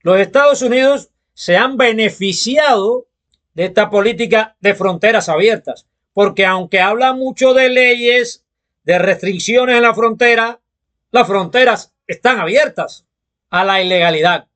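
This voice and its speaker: A man from Cuba with a Cuban accent, delivering a political opinion monologue in a deliberate, emphatic manner. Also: high voice, fairly bright tone. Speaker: political commentator.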